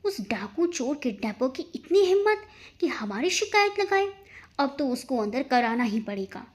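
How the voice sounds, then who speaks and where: A pert, impulsive young woman from an audio storybook, high in pitch, played by a woman narrator. She is excitable and feigns innocence with cheek. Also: moderate pace. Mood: determined.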